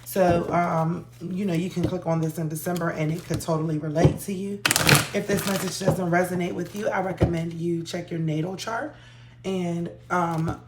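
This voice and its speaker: Female tarot host, hoarse, easygoing and chatty; lowish voice, roomy sound with faint hiss. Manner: relaxed, chatty, with frequent ums. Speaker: tarot reader. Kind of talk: casual tarot reading to viewers.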